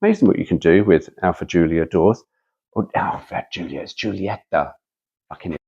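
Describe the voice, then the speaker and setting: A man's voice: low voice, brisk, darkish tone, slightly rough, dull audio. A man reading aloud casually as a host, breaking off for chatty, wry, digressive asides.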